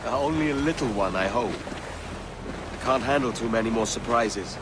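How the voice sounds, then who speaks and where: A man playing a gentle, regretful sea captain and father with a measured, hesitant manner in a video game cutscene. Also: medium pitch, natural voice. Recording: noisy wind and waves on ship.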